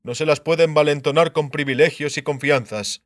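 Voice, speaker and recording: A man's voice: on the high side, steady tone, no echo, clean studio sound, lightly acted. A man voicing a shrewd, grumbling king and sardonic husband in an audiobook, musing aloud. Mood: shrewd, deliberating. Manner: musing aloud, muttering, drawn-out syllables. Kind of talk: dramatised audiobook character acting.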